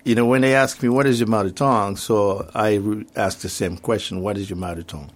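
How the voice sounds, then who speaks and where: A man's voice: fairly low pitch, steady tone, fairly quick. A middle-aged man from the Congo, speaking reflectively and hesitantly as an interview guest. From Democratic Republic of the Congo. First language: French and Swahili. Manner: hesitant, searching for words.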